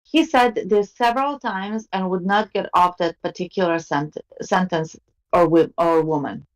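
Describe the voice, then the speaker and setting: A woman's voice: on the low side, normal speed, rough recording. A woman co-hosting a podcast, reasoning through her views and asking others to agree, and at times reading a book passage aloud. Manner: analytical, asks for agreement. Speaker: podcast co-host and reader. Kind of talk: casual discussion and reading aloud.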